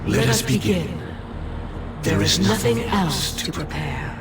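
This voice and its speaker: Game role: pious, composed male oath-taker, slightly high, unhurried, solemn, veiled threat. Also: animated, vocal fry, full character voice. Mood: solemn, devout. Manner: solemn, formal, measured. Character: pious, composed man swearing oaths, veiled menace. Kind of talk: video game voice acting, solemn formal dialogue. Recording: loud music behind the voice. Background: loud game music.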